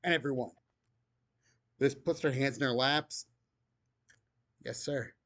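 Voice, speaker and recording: A man game master chatting casually, speaking calmly and evenly. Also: medium pitch, takes it slowly, no echo. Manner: calm, even-tempered talker. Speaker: game master, video creator. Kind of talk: casual podcast chat.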